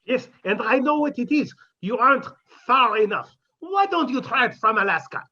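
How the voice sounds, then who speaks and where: A sardonic, scheming older professor, played by a man, with a husky, lively voice and droll timing. The dull, lo-fi sound suggests an old radio recording. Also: very high-pitched, normal speed, exaggerated voice. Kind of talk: 1950s radio sitcom acting.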